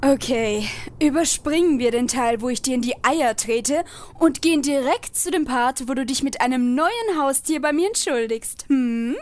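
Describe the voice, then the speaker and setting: A woman playing an exasperated, sharp-tongued adventurer in a comedy audio play, talking in a sarcastic, scolding way. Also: sits high, animated, normal speed.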